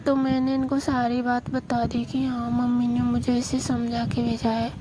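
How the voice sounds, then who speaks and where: A young woman with a high, rather flat voice tells a story over the phone. She talks in a confiding, hushed way. The call recording carries steady hiss. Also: moderate pace. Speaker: caller.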